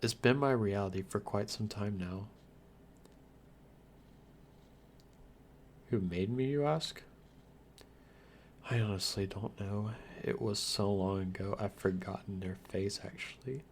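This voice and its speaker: A man's voice: lowish voice, normal speed, slight background noise, lightly acted. A man playing a lonely, guarded undead vampire, speaking straight to the listener in a wry, theatrical ASMR roleplay. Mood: bitter, sad.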